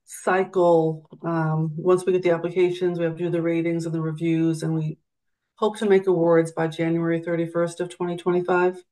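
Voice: mid-range voice, level tone, normal speed, warm tone, poor audio. A department staff member giving matter-of-fact answers on a video call.

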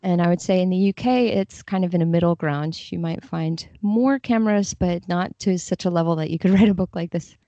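A woman's voice: fairly low pitch, brisk, hardly any pauses, mellow, no echo. A woman speaking as an interview guest, explaining things in a casual, conversational way. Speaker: podcast guest, literary translator. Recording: slightly dull, muffled audio.

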